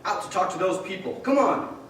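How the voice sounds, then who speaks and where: A man's voice: high voice, brisk, a bit bright. A man playing an earnest young small-town loan manager who pleads with people, in a staged radio play. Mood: urgent, pleading. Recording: echoey hall, distant mic, faint crowd noise.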